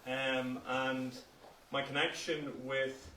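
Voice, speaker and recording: Hesitant man speaking flatly in a roomy hall. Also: medium pitch, slow pace. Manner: hesitant, friendly, filler-heavy. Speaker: cricket club member, guest speaker. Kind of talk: short informal speech. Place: function hall. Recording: roomy hall from a distance, faint noise.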